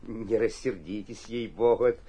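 An actor playing an obsequious, cunning provincial mayor in a theatrical radio play, flattering and slyly suspicious, with a husky voice. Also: male voice, fairly low pitch, normal speed. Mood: gushing, humble. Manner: fawning flattery with muttered suspicious asides. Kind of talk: theatrical radio drama acting.

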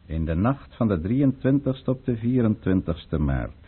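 An actor playing a cold, scheming Russian count in a Dutch radio play, theatrical and insinuating. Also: male voice, on the low side, big pitch swings, measured pace, breathy edge, exaggerated voice. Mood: cold, plotting. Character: scheming Russian count, commanding, cold, silky courtly conspirator. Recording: muffled, band-limited old radio recording.

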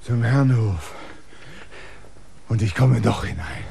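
A stubborn land surveyor. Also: male voice, on the low side, takes it slowly, gravelly, mellow, husky, exaggerated voice. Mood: agitated. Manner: earnest, insistent, rising to agitation. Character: stubborn land surveyor, outsider seeking the castle. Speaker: lead character. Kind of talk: German radio drama acting.

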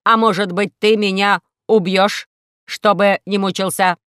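Woman voicing a slow, mournful, proud male robot. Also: mid-range voice, steady tone, fairly bright tone, smooth, no echo, clean studio sound, close to an everyday voice. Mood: self-pitying, ashamed. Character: melodramatic, ashamed male former combat robot guard, gloomy.

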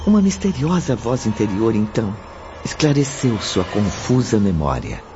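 A man narrating a radio drama in a measured, solemn way. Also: on the low side, big pitch swings, background noise. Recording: dull recording under loud music.

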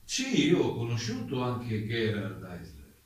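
A guarded male playwright giving testimony in a radio drama. He speaks at a middle pitch, hesitates and circles back over his answers. The sound is very reverberant and distant, with faint noise. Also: wildly swooping pitch, measured pace. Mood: conversational, guarded. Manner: hesitant, repetitive, evasive. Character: evasive male German playwright testifying as a witness. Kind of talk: dramatized hearing testimony in a radio theatre piece.

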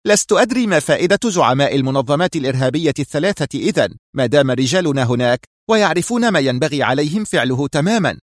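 Man voicing a tense, sharp female spy, pitched up. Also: animated, quick pace, few pauses, no room sound, studio quality. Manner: nervy, sharp, argumentative.

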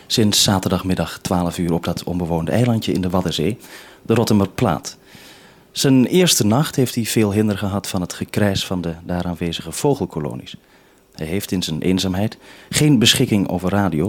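Low-voiced male radio host. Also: husky. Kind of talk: explanatory radio presenting and interviewing.

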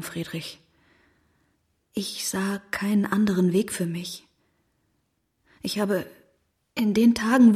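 An actress playing a tender, troubled young woman in a radio drama, breathy and hushed with a hesitant, earnest manner. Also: medium pitch. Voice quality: breathy, often near whisper. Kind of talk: literary radio drama acting.

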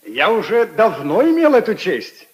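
An actor playing a formal, courteous military officer in a radio play, speaking deferentially. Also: male voice, high-pitched, huge pitch swings, measured pace, full character voice. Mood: respectful. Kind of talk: 1950s Soviet radio play acting.

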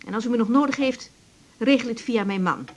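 An actress as a tense, high-voiced woman doctor. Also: brisk, a little hiss or hum, lightly acted. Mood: curt, guarded. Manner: curt, clipped, hesitant when emotional. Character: tense married woman doctor, defensive and upset over an inheritance. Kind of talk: naturalistic 1980s radio drama acting.

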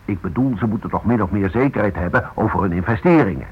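Bossy crooked firm boss, husky lowish voice, sarcastic, bullying, old muffled dutch radio play. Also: male voice, fast. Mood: smug, lecturing. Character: domineering male boss of a shady security firm. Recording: dull lo-fi radio recording.

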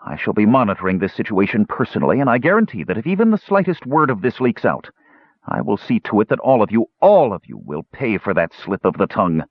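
A man's voice: fairly low pitch, sing-song, quick pace, husky, no room sound, lo-fi sound, heavily acted. Man as menacing dwarf sorcerer, cold clipped threats, dry close sound. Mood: cold commanding. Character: cruel hunchbacked dwarf sorcerer, male, vengeful, menacing.

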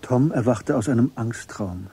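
A man narrating a crime radio play in a measured, literary reading style. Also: mid-range voice, vocal fry, dark tone, slightly rough. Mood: calm, matter-of-fact. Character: calm male narrator of a crime story.

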